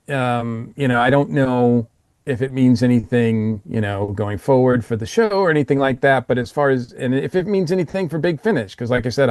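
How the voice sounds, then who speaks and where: Male podcast panelist, mid-pitched, dry sound, rambling and halting casual reviewer. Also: darkish tone, dry recording. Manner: rambling, halting, repeats words.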